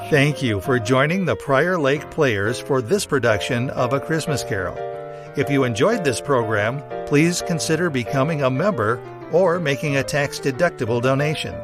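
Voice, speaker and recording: A man announcing for a radio play in a scripted style, with music behind him. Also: mid-range voice.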